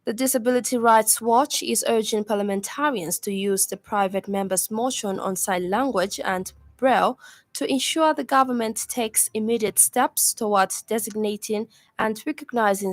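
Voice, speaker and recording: A woman with a Zambian accent reads a scripted radio news bulletin in a formal, measured delivery. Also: medium pitch. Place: radio studio.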